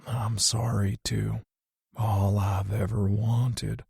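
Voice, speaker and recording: Male reader doing a dying dad: low, hushed, very slow, gentle. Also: flat delivery, vocal fry, slightly rough, dry recording, clean studio sound, full character voice. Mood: tender. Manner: soft, weak, slow speech. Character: dying father, gentle and loving, middle-aged man. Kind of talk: audiobook character voice.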